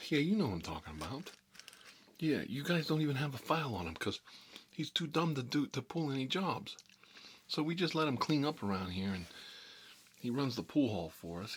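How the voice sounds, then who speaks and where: A low, husky-voiced mob boss, acted out by a man in an ASMR comedy roleplay. He is hot-headed and talkative, grumbling in streetwise slang and circling back over the same phrases. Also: fairly bright tone, exaggerated voice. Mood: exasperated, scornful.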